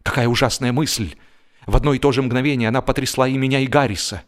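A man's voice: medium pitch, steady tone, fast. Man narrating a comic story theatrically. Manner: theatrical, mock-solemn. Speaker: audiobook narrator. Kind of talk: expressive humorous literary narration.

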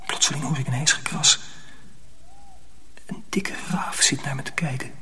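An actor playing an indignant, earnest young miller's apprentice boy in a radio drama, intense and often hushed and breathy. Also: medium pitch, steady tone, moderate pace, whispered. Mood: hushed unease. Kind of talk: radio drama dialogue and hushed first-person narration. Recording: noisy old radio play recording.

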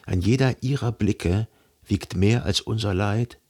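A man playing a reflective, lovelorn narrator recalling his past in a literary radio drama, measured and contemplative. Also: lowish voice, a little mellow. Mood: wry reflection.

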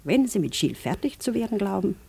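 An actress playing a stern, guarded woman with a husky, dark voice in a 1950s radio drama. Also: mid-range voice, very animated, fast talker, heavily acted. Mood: wary, cool. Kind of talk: 1950s German radio play acting. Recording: noisy 1950s radio play recording.

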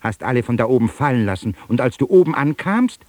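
An actor playing a boastful, taunting djinn in a literary radio drama, declaiming theatrically in a husky voice. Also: male voice, mid-range voice, sing-song, quick pace, a little mellow, no echo, lightly acted. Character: boastful, taunting djinn, a conjured airy spirit.

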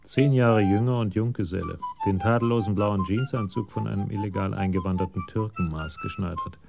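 An actor narrating a police radio drama in a measured, literary storytelling manner. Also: male voice, lowish voice, vocal fry. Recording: music under the voice.

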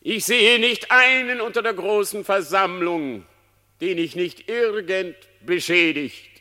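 A man's voice: high-pitched, pushed and bright, heavily acted. An actor in a radio play voicing a cunning condemned male fox who makes a solemn, declamatory plea to a crowd. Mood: pious confessing. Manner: declamatory, solemn, drawn-out public confession. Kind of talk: classic German radio play acting in verse. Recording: long hall reverb on the voice.

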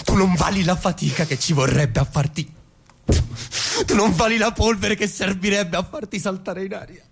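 Volatile, wounded husband, stage drama: highish, gravelly voice, insistent and heated. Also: male voice, normal speed, heavily acted. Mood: furious, spiteful. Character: wounded, volatile husband, pleading then spiteful. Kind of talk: theatrical stage-play acting for radio.

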